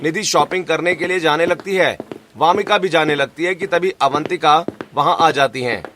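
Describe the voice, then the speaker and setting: A man's voice: a little high, moderate pace, a bit bright, soft background music. A man reading scripted narration for a cartoon family story.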